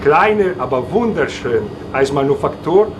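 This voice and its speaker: Italian ice cream maker, broken German with Italian accent, proud, noisy workshop hum behind. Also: male voice, a little high, expressive. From Italy. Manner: halting German, proud and moved. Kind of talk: interview.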